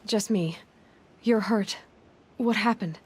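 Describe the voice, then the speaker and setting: Determined young game huntress, earnest. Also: female voice, medium pitch, slow, a little mellow, slightly rough. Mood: concerned. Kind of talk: video game voice acting.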